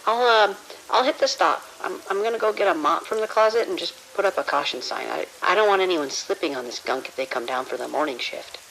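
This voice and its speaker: A woman's voice: lowish voice, a little fast, few pauses, fairly bright tone, a little hiss or hum, low-quality recording. A woman playing a nervous security officer on night shift in an audio drama, speaking hesitantly with stammers. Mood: shaken, compliant. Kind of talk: immersive audio drama acting.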